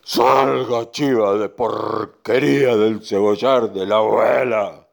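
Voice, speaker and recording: A man voicing a huge, gruff bull who blusters slowly but is cowardly underneath, in a children's story. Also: fairly low pitch, fairly even intonation. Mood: threatening.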